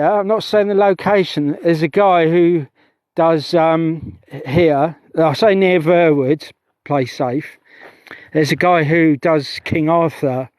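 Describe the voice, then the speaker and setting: A man's voice: on the high side, darkish tone, no echo. Chatty man rambling outdoors. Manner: chatty, meandering, self-interrupting. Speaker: UFO investigator vlogger. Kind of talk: rambling outdoor livestream chat. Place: outdoors on a heath at night.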